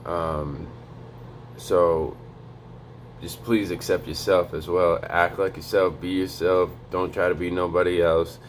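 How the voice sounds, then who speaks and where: A young man giving a casual, profane motivational vlog, speaking low and quiet to avoid waking a neighbor. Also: little pitch movement, slow, a little hiss or hum. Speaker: vlogger. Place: home in North Dakota.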